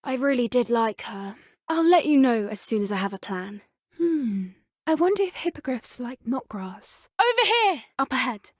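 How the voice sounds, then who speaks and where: Plucky english schoolgirl witch for a game, kinda high voice, polite n earnest, super dry studio sound. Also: big pitch swings, normal speed, dry recording. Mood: alert, urgent. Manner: polite, earnest, softly eager. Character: earnest, plucky teenage schoolgirl witch who loves creatures. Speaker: video game character voice actor. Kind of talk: video game character dialogue.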